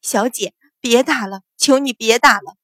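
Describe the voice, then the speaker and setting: A woman's voice: fairly high pitch, moderate pace, a bit bright, dry recording, studio quality, close to an everyday voice. A woman voicing a frightened, loyal maidservant, pleading submissively in a storybook drama. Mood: urgent, pleading. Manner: pleading, anxious.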